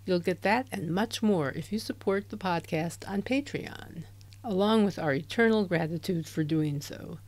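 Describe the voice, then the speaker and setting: A woman hosting a crime fiction podcast, reading announcements in a clear, measured way. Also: on the low side, a little mellow. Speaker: podcast host. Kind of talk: scripted announcement. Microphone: handheld dynamic USB mic.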